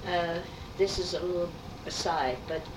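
Elderly woman recalling her life, distant tape. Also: fairly low pitch. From New York City. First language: French. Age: 80s. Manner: reminiscing, unhurried storytelling with asides. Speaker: artist interviewee. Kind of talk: oral history interview. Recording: roomy, distant, noisy tape.

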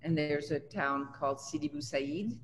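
A woman's voice: sits low, moderate pace. An older woman from Tunisia speaks in a conversational, reflective interview style. Her voice rings in a reverberant studio room, with faint noise behind it. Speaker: artist being interviewed. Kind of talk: conversational personal recollection. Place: indoors in an art studio.

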